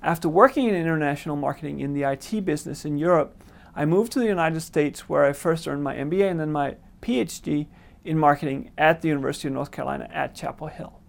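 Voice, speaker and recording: A man from Denmark with a Danish accent, a marketing professor giving a prepared course introduction in a measured, explanatory way. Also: fairly high pitch, creaky.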